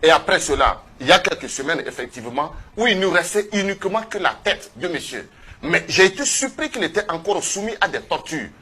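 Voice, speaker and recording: A man giving impassioned testimony as a guest in a television studio, speaking emphatically and haltingly, often repeating phrases. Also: a little high, sing-song, pushed and bright, husky. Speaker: activist guest on a TV panel.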